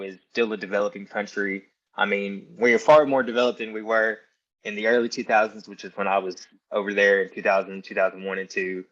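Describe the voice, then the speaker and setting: Guest: young American man, low voice, earnest and reflective, lo-fi recording. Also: a bit bright. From Ukraine. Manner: earnest, reflective, many fillers. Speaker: podcast guest. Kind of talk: casual interview talk. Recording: dull lo-fi sound.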